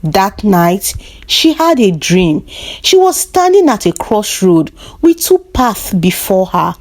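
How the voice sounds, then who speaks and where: A woman tells a scripted folktale in a medium-pitched voice. Her storybook delivery is measured and expressive, with intonation that rises and falls widely. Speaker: storyteller, narrator.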